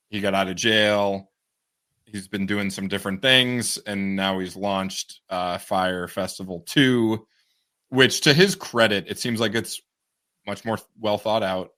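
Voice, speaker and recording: A man's voice: fairly low pitch, pushed and bright. A man co-hosting a casual news podcast, talking in an easygoing way and laughing often. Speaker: podcast co-host.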